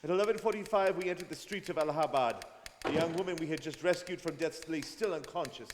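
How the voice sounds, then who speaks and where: Echoey hall, man acting serious detective narrating old adventure radio play, highish voice, dramatic n formal. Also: lightly acted. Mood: suspenseful. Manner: formal, suspenseful, deliberate. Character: grave, watchful detective recounting a journey. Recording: reverberant hall with faint noise.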